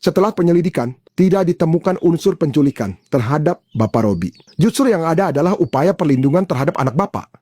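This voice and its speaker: A man voicing a calm, firm police officer in an animated drama, speaking formally and with authority. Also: on the high side, quick pace, breathy edge, dry recording, clean studio sound.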